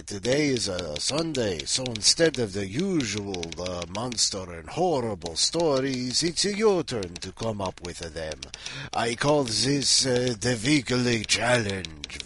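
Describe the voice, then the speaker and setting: A man's voice: fairly low pitch, noisy background. A man playing a comic three-hundred-year-old Count Dracula with a mock Eastern European accent, hesitant and digressive as he hosts. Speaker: host of a weekly story challenge. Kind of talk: comic ad-libbed monologue.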